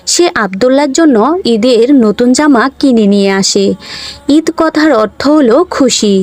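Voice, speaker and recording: A woman narrating a scripted children's cartoon story as the storyteller. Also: a little high.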